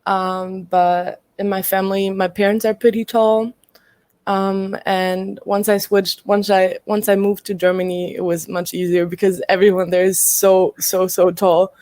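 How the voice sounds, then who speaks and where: Young woman from Greece, college athlete, hesitant and laughing, mellow voice, dry close sound. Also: medium pitch, little pitch movement, unhurried, no room sound. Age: young adult. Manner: hesitant, many ums, laughs often. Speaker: college volleyball player. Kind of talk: casual interview answers.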